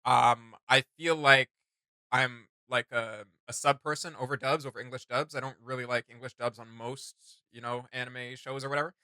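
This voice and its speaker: A man's voice: medium pitch, steady tone, normal speed, pushed and bright, clean tone, dry recording. A man chatting casually and enthusiastically as a reaction host, rambling and slangy. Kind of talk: casual enthusiastic fan chat.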